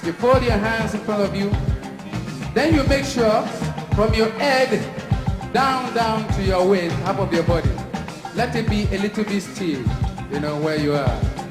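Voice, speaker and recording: Nigerian male dance instructor, high voice, calls out the steps, roomy echo, loud music behind. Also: a little slow. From western Nigeria. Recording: echoey space with loud music.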